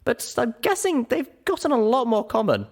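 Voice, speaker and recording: A man's voice: very high-pitched, very animated, moderate pace, gravelly, voice pushed up, heavily acted. A man voicing a young female pony heroine in a story, earnest, bashful and hesitant. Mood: earnest, determined.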